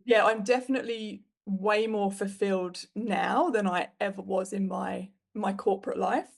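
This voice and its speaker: A woman's voice: medium pitch, normal speed, creaky. A woman in her thirties from the UK with a British accent, a podcast guest telling her career story frankly, with plenty of fillers. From United Kingdom. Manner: frank and animated, with frequent fillers. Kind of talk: candid conversational storytelling.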